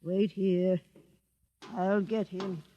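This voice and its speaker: A man's voice: sits high, flat delivery, dark tone, slight background noise. An actor playing a wary, suspicious male gatekeeper in a 1950s radio drama. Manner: slow, suspicious. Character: wary, hushed gatekeeper of a prophet's dwelling. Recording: dull, reverberant old radio recording.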